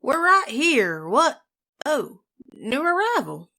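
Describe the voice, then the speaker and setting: A woman's voice: high-pitched, sing-song, slow pace, creaky, sharp tone, full character voice. A woman playing a chatty, bossy, motherly survivor in a dubbed comic drama. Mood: delighted, bossy.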